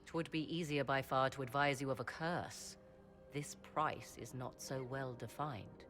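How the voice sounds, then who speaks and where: A woman playing an aloof, scholarly sorceress in a video game, speaking formally with archaic, measured, dry phrasing. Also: rumbling low, steady tone, a bit bright. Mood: measured, resolute. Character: aloof scholarly sorceress, learned in elven lore. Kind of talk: video game dialogue acting. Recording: long hall reverb, game music behind.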